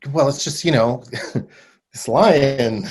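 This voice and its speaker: A woman playing an awkward circus clown with a husky voice and faltering, tentative speech, in a staged play reading. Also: very deep voice, drawn-out words, a little mellow, full character voice. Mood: nervous, tentative.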